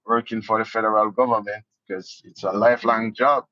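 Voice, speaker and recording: A man's voice: fairly low pitch, little pitch movement, a little fast, pushed and bright, no room sound. A Jamaican man giving casual political commentary, indignant and sarcastic. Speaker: vlogger. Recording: dull lo-fi dry sound.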